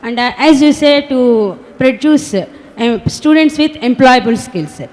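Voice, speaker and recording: A woman's voice: high voice, slow, darkish tone. A woman from India asking a question from a conference audience, hesitant and polite, with many fillers. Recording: reverberant conference hall.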